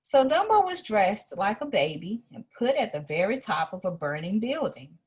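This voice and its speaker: Expressive, husky-voiced woman reading a storybook. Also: mid-range voice, moderate pace. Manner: expressive, with asides to a child listener. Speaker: art educator reading a story aloud. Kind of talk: children's storybook read-aloud.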